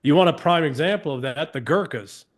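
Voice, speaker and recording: A man's voice: on the high side, animated, brisk. Emphatic man lecturing as a podcast guest. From United States. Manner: emphatic, asks rhetorical questions, restarts sentences.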